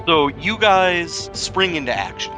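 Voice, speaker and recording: A man's voice: fairly high pitch, big pitch swings, measured pace, over music, low-quality recording. A man improvising narration as the game master of a tabletop role-playing session.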